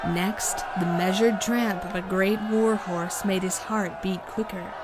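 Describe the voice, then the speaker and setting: A woman reading a storybook as narrator, in a steady, measured way. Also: medium pitch, a loud crowd, very noisy. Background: music.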